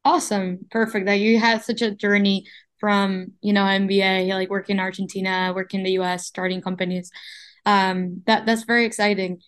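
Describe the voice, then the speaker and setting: A woman's voice: mid-range voice, little pitch movement, fairly quick. A woman hosting an interview podcast. She introduces the show and her guests in an upbeat, eager way. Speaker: podcast host.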